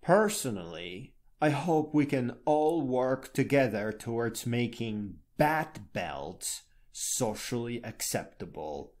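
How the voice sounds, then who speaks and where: A man narrates a scripted blog piece at an unhurried pace. His mid-pitched voice swings widely up and down from phrase to phrase. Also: animated, darkish tone.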